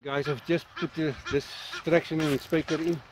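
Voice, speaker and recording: A man's voice: fairly high pitch, normal speed. South African man narrating outdoors. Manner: relaxed, explains step by step with fillers. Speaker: fishing vlogger. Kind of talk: casual outdoor fishing commentary. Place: outdoors at a quarry dam. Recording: dull lo-fi with loud outdoor noise.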